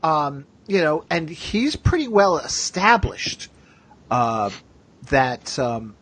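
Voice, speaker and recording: Animated, husky man hosting casually. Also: on the high side, dry recording. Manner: chatty, quick to laugh, thinks out loud. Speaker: podcast host. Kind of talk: casual discussion chat.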